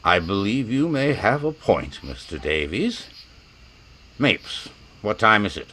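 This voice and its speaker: A man playing a cold, commanding major in a radio western, speaking formally and with measured authority. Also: lowish voice, big pitch swings, pushed and bright, heavily acted. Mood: coolly formal. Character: cold, commanding major leading a lynch mob. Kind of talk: old-time radio drama acting.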